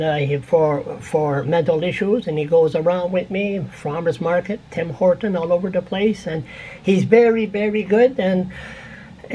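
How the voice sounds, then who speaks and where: A man's voice: sits high, a little mellow, muffled recording, slight background noise. An older man chatting casually as a radio phone-in caller, rambling and repeating words for emphasis. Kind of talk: casual chat. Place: indoor room at home.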